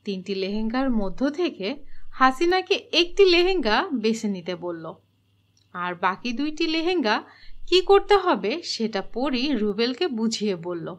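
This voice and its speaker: A woman's voice: on the high side, very animated, measured pace. A woman reading scripted storybook narration for a children's cartoon.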